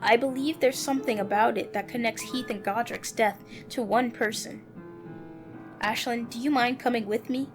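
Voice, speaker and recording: A woman's voice: fairly high pitch, steady tone, moderate pace. A woman reading a visual novel aloud, moving between narration and character dialogue, with music playing behind her. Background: background music.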